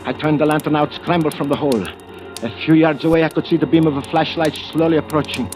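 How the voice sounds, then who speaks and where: An actor playing a nervous, secretive, obsessed treasure hunter in an old radio thriller, speaking haltingly and dramatically. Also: male voice, on the high side, fairly even intonation, natural voice. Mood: terrified. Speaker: actor narrating and playing the lead. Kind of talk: 1940s-50s radio thriller acting, first-person narration. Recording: old radio recording with music.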